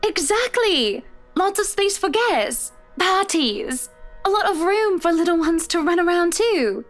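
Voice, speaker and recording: A man's voice: extremely high pitch, very animated, a little slow, fairly bright tone, voice pushed up, full character voice. A man voicing a gushing, fussy young woman who calls people "dear", over game music. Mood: sugary. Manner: fussy, sugary, says "dear".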